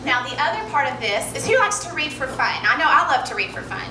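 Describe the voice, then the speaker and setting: Young teacher lady talking to kids at an assembly, highish voice, upbeat, far off camera in a noisy gym. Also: big pitch swings, fast talker, reverberant. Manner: upbeat, addresses kids with questions. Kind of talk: school assembly talk to children. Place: large school gym in front of students. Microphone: handheld mic, recorded by far camera.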